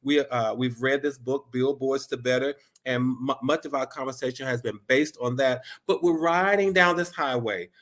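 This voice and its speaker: Congested male host, mid-pitched, upbeat motivational livestream delivery with emphatic repetition. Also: moderate pace, few pauses, fairly bright tone. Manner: upbeat, encouraging, repeats phrases for emphasis. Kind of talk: live-streamed motivational talk.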